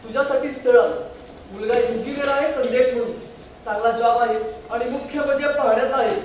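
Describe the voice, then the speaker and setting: A man playing a high-voiced strict soldier father. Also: fairly even intonation, brisk, a little mellow. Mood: matter-of-fact. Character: strict retired soldier, father of grown daughter. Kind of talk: theatrical stage acting. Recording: echoey stage hall from far, faint noise.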